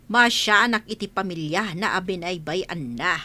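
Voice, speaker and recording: A woman's voice: fairly low pitch, moderate pace, sharp tone. A woman playing a fretful, fed-up elderly village wife and mother who complains and laments in a comedy radio drama. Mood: lamenting. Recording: noticeable background noise.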